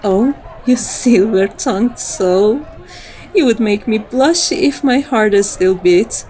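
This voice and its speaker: A woman's voice: mid-range voice, dark tone, natural voice, poor audio. A woman playing a seductive, devoted and dominant female vampire in an intimate, poetic ASMR roleplay. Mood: coaxing, playful. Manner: slow, poetic, intimate delivery.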